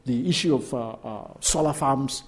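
A middle-aged man giving a talk and answering questions, halting often and repeating words. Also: mid-range voice. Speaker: guest speaker. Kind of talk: lecture and Q&A answers.

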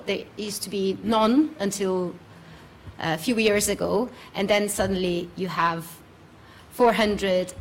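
A woman's voice: mid-range voice, slight background noise, rough recording. A woman panelist answering audience questions, hesitant, with many fillers.

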